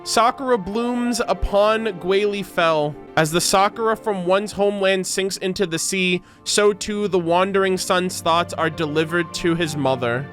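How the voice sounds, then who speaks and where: Higher-voiced man doing casual game commentary. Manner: informal, slangy, expressive. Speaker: game streamer and video creator. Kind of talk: casual reaction commentary with read-aloud game text.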